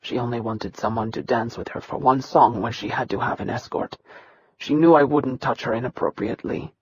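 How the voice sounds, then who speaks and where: A woman voicing a terrified, beaten young male hybrid servant who speaks in a quivering, breathy hush. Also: medium pitch, fairly quick, muffled recording, voice pushed down. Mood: pleading. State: in pain and panic.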